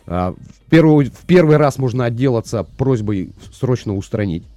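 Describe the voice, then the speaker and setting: A man's voice: medium pitch, lively intonation, moderate pace, dark tone, dry recording. A man hosting a radio show, chatting loosely with many fillers into a studio microphone. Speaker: radio host. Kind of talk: morning radio show chat.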